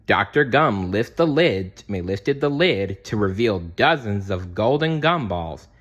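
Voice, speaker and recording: Male children's read-aloud narrator: lowish pitch, very animated intonation, dramatic delivery. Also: unhurried. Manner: dramatic, explains asides to young listeners. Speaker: storybook reader.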